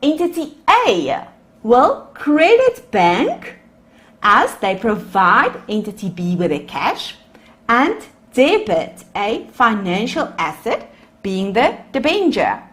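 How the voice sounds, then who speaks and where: A woman's voice: on the high side, huge pitch swings, slow, fairly bright tone. A woman lecturer with a South African accent, a native Afrikaans speaker, explaining accounting over slides and calling her students "guys". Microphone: voice-over on screen recording.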